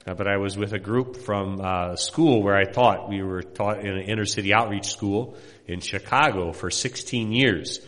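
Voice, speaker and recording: A man's voice: on the low side, a bit bright. Middle-aged man speaking in a reverberant hall. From Indiana, USA. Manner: folksy, rambling, many "uh" pauses. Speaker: missionary pastor. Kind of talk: sermon introduction to a congregation.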